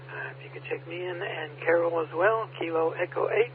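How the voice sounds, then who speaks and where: A man's voice: fairly high pitch, brisk. Man, radio check-in, phonetic call signs, thin narrowband audio, light hum. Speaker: amateur radio operator.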